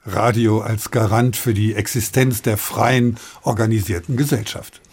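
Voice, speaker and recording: Husky male radio host. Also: fairly low pitch, fairly even intonation, unhurried, a little mellow. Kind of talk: scripted radio presenting.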